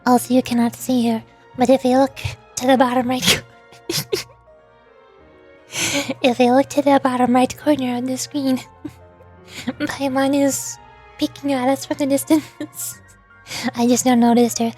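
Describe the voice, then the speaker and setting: A woman with a high voice chats casually on a livestream. She rambles and restarts her thoughts in a giggly way. Music plays behind her. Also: little pitch movement, measured pace, pauses often, darkish tone, breathy edge. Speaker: streamer and voice actor.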